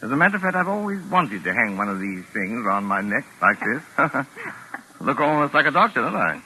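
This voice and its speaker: An urbane British gentleman writer in a vintage radio play. His delivery is wry and excitable, swinging widely in pitch, with a very bright, crisp edge. Faint noise sits on the old recording. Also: a little fast, heavily acted, low-quality recording. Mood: genial. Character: jaunty, talkative English gentleman mystery writer. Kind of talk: 1940s radio acting.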